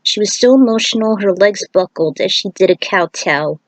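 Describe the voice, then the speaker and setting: A woman's voice: medium pitch, animated, normal speed, no echo, rough recording. A woman reading a fan fiction story aloud as an amateur narrator.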